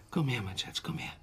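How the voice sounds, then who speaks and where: A man playing a gentle, evasive father and husband in a naturalistic radio drama, soft and hesitant. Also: noisy background, natural voice.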